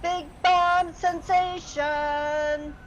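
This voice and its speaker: Young radio-play paper seller, very high voice, drawn-out slow street calls. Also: female voice, flat delivery, soft background music, heavily acted. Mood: hawking. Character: young street newspaper seller crying headlines.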